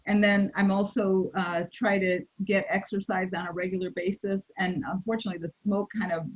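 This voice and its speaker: A woman's voice: mid-range voice, little pitch movement, fairly quick. A woman panel guest talking reflectively about her daily routines, hesitant with many fillers and restarts. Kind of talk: casual personal sharing on a panel. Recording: dull lo-fi sound.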